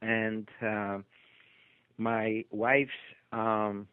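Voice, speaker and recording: A man's voice: fairly low pitch, level tone, drawn-out words, clear, resonant tone, no room sound. An older man with a Polish accent, a doctor being interviewed, telling his story with many hesitations and restarts. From Poland. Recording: tinny phone-line audio, dry.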